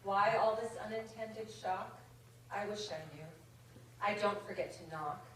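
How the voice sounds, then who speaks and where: A woman poet reading her own comic verse aloud at a conference, with measured comic timing. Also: mid-range voice, slight background noise. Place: indoor conference reading room. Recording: very echoey room from far off. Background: audience laughter.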